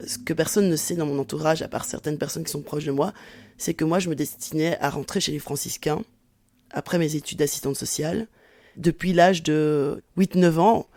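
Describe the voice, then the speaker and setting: A woman's voice: sits low, fairly even intonation, moderate pace, a little mellow. A woman in her forties, a sociology professor, giving candid and reflective personal testimony in an interview, sometimes restarting her phrases. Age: 40s.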